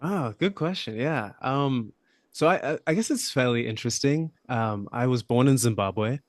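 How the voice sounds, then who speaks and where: A young man from Australia, a podcast guest, talking in a relaxed, thoughtful way with lots of fillers and hedges. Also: mid-range voice, moderate pace, no room sound, studio quality. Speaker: podcast guest, app founder. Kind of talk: casual interview conversation.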